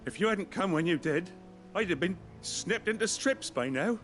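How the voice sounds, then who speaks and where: An actor playing a humble, chatty working-class carpenter with a British accent in a fantasy video game, folksy and a little flustered. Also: male voice, high voice, expressive, normal speed, fairly bright tone, soft background music. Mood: grateful, shaken.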